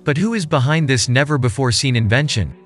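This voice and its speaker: A man's voice: mid-range voice, expressive, quick pace, soft background music. A man from Germany presenting a video as scripted narration.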